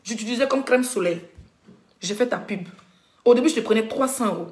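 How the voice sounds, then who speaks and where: A woman's voice: fairly high pitch, fairly quick, darkish tone. Indignant woman with a cold, echoey room. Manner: indignant, insulting, talks to viewers directly. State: has a cold, coughing. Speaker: blogger doing a live stream. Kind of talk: heated rant on a live stream.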